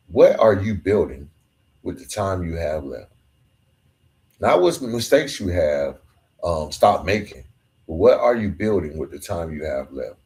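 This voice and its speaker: Male podcast host, low voice, emphatic repeated-phrase motivational delivery. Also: a little mellow. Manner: emphatic, repetitive preaching cadence. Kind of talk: motivational monologue.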